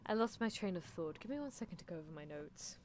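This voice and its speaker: A woman's voice: fairly low pitch, animated, fast talker, darkish tone, slight background noise. A woman livestream performer chatting casually and off the cuff with her viewers. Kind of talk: casual improvised chat with viewers.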